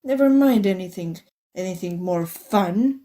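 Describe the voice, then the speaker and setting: An actress playing a bored, crude, lecherous lone broadcaster in an audio drama monologue, sardonic and theatrical. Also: female voice, mid-range voice, animated, unhurried, mellow, close to an everyday voice. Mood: sardonic, lewd.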